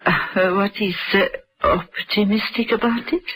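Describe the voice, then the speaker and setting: Very husky, seductive married woman. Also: mid-range voice, steady tone, a little slow, fairly bright tone, rough recording. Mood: poised, coy. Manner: coolly formal, insinuating. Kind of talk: radio drama acting.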